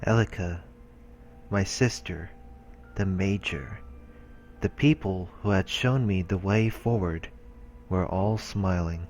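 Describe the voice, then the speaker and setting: A man reading visual novel text aloud in a casual, halting way, as a let's player. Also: low-pitched, a little mellow, faint music behind.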